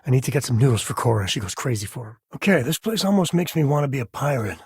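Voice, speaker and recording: An actor playing an easygoing, wry space cowboy and single father in a video game, husky and rough-edged with a folksy, conversational manner. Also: medium pitch, lively intonation, quick pace, no room sound, studio quality. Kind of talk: video game character dialogue.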